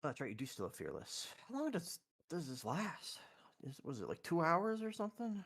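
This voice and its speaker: Lively, animated man at a tabletop game. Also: on the high side, fairly quick, husky. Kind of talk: casual game chat.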